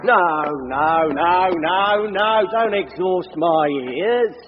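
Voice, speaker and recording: A cultured, pompous male villain in a radio play. His fairly high voice swings expressively as he talks fastidiously, in a reverberant space. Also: animated, loud music behind the voice, exaggerated voice. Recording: dull, reverberant, faint noise.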